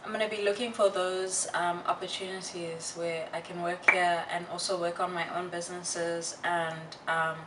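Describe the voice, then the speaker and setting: Young female vlogger, unhurried and reflective, candid casual chat, slightly noisy and distant room recording. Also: lowish voice, flat delivery. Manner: candid, halting and reflective with slangy asides. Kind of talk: casual personal chat to viewers. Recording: roomy, distant mic, faint noise.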